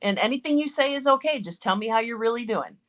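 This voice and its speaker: A woman hosting a chat show, reacting quickly and cheering the audience on. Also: a little high, animated, a bit bright. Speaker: host. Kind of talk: casual chat.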